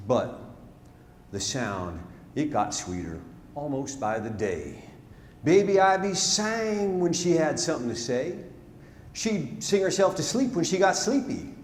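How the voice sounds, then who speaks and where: A man recites rhyming narrative verse on stage as a storyteller, dramatic and deliberate, swelling and then hushing his phrases. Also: fairly high pitch, sing-song. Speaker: storyteller performing a narrative poem. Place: large theatre, live stage performance. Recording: live theatre stage, long reverb, noisy.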